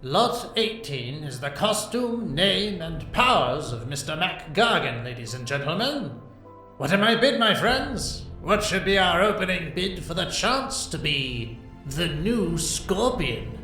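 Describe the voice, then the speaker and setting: A man playing a showy auctioneer in a comic-book fan dub, theatrical and drawn-out, in an echoing space with music. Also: fairly high pitch, very animated, bright, forceful tone, heavily acted. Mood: showy, inviting. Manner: theatrical, drawn-out showman delivery. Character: showy male auctioneer of villain costumes. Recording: echoey room, faint music.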